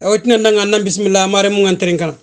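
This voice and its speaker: A man hosting an interview, speaking in a slow, halting way with fillers. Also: sits high, fairly even intonation. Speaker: host. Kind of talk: casual interview talk.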